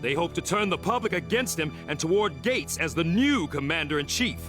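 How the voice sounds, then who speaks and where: An actor voicing a calm, thoughtful man in a cartoon, speaking in a measured way. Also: fairly high pitch, natural voice. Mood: wary. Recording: music behind.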